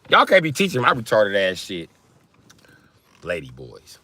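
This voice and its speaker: A man hosting a casual livestream, teasing and swearing as he banters with viewers in an animated, exaggerated way. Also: measured pace, no room sound. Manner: teasing, profane mockery; animated, breaks into high-pitched exaggerated mimicry. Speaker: livestream host chatting with viewers.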